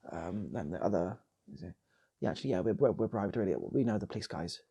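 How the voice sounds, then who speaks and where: A man's voice: low-pitched, lively intonation, fast, warm tone, slightly rough, lo-fi sound. A man with a British accent telling a casual improvised comic story as a channel host, rambling and repeating his phrases.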